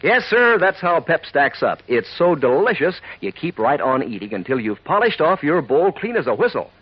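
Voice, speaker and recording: An American man announcing a 1940s radio commercial, pitching chummily and emphatically to children. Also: very animated, moderate pace, husky. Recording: muffled old radio recording, music.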